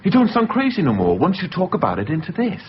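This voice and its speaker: An actor playing an intense, film-obsessed schemer, insistent and persuasive, in a radio drama. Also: male voice, sits high, wildly swooping pitch, quick pace. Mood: fervent. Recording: dull, noisy radio broadcast recording.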